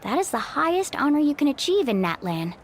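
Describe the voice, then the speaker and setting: Reassuring upbeat young woman, fairly high voice, animated pitch, light music. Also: fairly quick, slight background noise. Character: confident young woman, warm encouraging friend. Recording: game audio with faint music.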